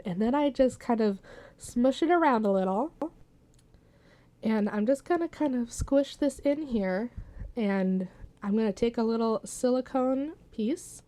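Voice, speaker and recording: A woman's voice: mid-range voice, a little mellow. A woman chatting casually through a hands-on craft tutorial as its host, playful and jokey, with folksy made-up words. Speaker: soap maker hosting a craft tutorial.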